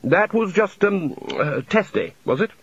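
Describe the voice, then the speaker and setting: A man's voice: sits high, animated, unhurried, slightly rough, dry recording, pitched above the performer's own voice, heavily acted. A man voicing a blustering older male wizard leader who trips over introductions. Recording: slightly dull, dry audiobook recording.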